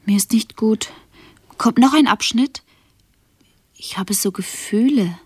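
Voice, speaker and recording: A woman's voice: a little high, slow pace, a little mellow, husky, no echo, clean studio sound. An actress playing a frail, queasy, dreamy woman in a radio drama, speaking hesitantly and breathily. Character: frail, queasy, dreamy woman companion full of odd sensations. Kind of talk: 1970s German radio drama acting.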